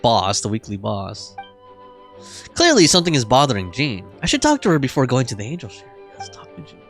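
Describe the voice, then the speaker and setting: A man's voice: mid-range voice, huge pitch swings, fairly quick, fairly bright tone. A man streaming a game with casual, slangy live commentary, reacting in short muttered interjections. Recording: game music behind the voice.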